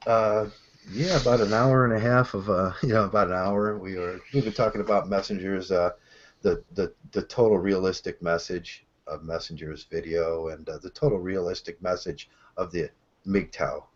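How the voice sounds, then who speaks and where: A man hosting a casual online discussion, with a soft voice that has a gravelly undertone and a relaxed, blunt, rambling way of talking. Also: low-pitched, moderate pace. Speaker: host. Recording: dull lo-fi call audio.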